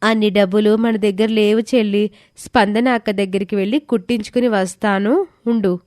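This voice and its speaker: A woman voicing a gentle, responsible schoolgirl, an elder sister in an animated moral story. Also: mid-range voice, moderate pace, dry recording, studio quality, natural voice. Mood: gentle, reassuring.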